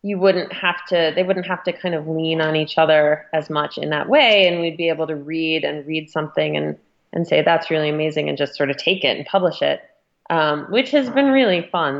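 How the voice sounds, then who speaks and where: Female interview guest, editor type, lowish pitch, conversational and hesitant, slightly muffled lo-fi audio.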